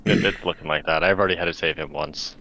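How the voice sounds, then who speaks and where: A man's voice: low voice, steady tone, fast talker, gravelly, crowd noise. A man chatting casually as a player in a tabletop game, on a lo-fi recording. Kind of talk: casual chat.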